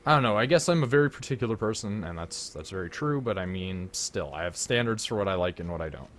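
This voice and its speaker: A Canadian man with a slightly low-pitched voice, hosting a live game stream with friends. He talks in a loose, animated way, full of "like" and "you know", and airs his opinions freely. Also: quick pace, a little hiss or hum. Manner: opinionated, animated ranting with lots of fillers. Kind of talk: casual chat while playing.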